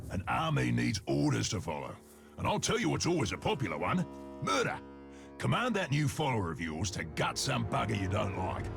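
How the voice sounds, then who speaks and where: A man's voice: medium pitch, creaky. An actor playing a cocky, crude, bloodthirsty orc henchman, chummy and slangy, in a video game. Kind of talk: video game character acting.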